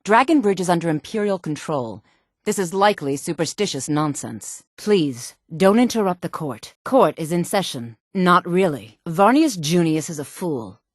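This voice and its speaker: Sultry, low-voiced haughty mage. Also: female voice, lively intonation, normal speed, dry recording, clean studio sound. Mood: haughty, formal. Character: sultry, haughty woman mage of a fantasy realm. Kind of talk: video game character voice acting.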